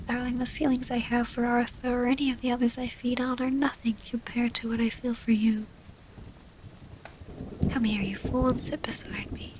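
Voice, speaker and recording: A woman playing a loving, formal, wistful female vampire in an intimate ASMR roleplay, often breathy and close to a whisper. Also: on the high side, normal speed, natural voice. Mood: tender, reassuring. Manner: formal, old-fashioned, intimate phrasing. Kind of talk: ASMR romantic audio roleplay. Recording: steady rain ambience, faint noise.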